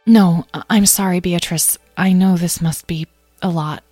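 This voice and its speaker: A woman's voice: lowish voice, fairly even intonation, measured pace, mellow, no echo, faint music behind. A woman voicing a tentative, apologetic human woman in a story. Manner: tentative, soothing.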